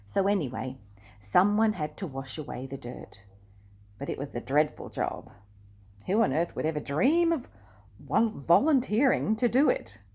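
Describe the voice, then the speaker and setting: An older woman reading a picture book aloud expressively and deliberately, speaking directly to young listeners. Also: lowish voice, very animated, dark tone, breathy edge. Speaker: storyteller.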